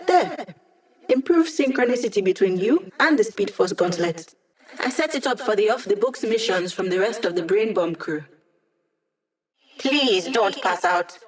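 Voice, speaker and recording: An actress voicing a sardonic young woman hacker who runs tech support for a squad, in video game voice lines with dry, deadpan humor. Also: mid-range voice, close to an everyday voice, rough recording.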